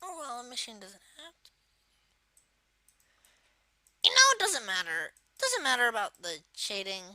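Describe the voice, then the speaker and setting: Someone putting on the voice of a young cartoon turtle villain, exaggerated and swooping, while presenting a software tutorial. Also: mid-range voice, wildly swooping pitch, a little fast, no echo, heavily acted. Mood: dismissive. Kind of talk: software modding tutorial, improvised walkthrough.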